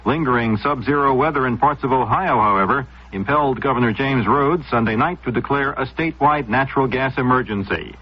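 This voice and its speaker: A man with an American accent reading scripted radio news in a formal, measured way. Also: medium pitch. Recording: dull vintage radio sound.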